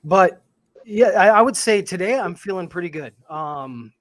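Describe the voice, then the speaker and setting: Halting, reflective man in his forties. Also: high voice, lively intonation, no echo. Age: 40s. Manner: reflective, halting with many fillers. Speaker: livestream co-host. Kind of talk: casual livestream chat.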